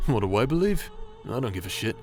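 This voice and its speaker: A man's voice: fairly low pitch, big pitch swings, fast talker, a little mellow. A man acting in an audio roleplay as a brash, irreverent warrior with a sarcastic, teasing way of talking. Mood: sardonic musing. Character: brash male bastard warrior, sarcastic, teasing, foul-mouthed. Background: music.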